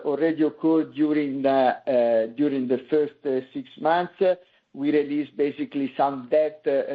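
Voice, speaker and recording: A man presenting financial results as a company executive, very hesitant, with frequent filler sounds and repeated words. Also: a little high. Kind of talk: semi-scripted earnings call presentation. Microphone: conference call line. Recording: narrow, tinny conference phone line.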